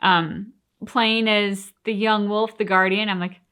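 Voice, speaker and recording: A woman's voice: medium pitch, steady tone, unhurried, fairly bright tone, smooth. A woman co-hosting a reaction show, giving thoughtful, conversational commentary in a casual chat style. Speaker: co-host of a reaction channel.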